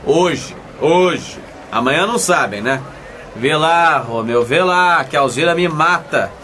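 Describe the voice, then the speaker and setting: An exasperated, wisecracking husband in a radio comedy. His fairly high voice swoops about as he exclaims and needles. The manner is sarcastic throughout. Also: animated, measured pace, bright, forceful tone, a little hiss or hum. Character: nagging, exasperated, wisecracking husband and anxious friend. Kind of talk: comic radio soap opera acting with narration.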